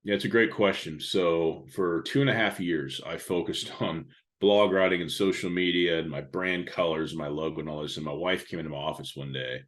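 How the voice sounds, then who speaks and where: Deep-voiced man with a radio-style voice. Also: moderate pace. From Indianapolis area, USA. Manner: loud, relaxed, trails off quietly at phrase ends. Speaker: podcast guest, speaker and author. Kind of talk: casual interview talk.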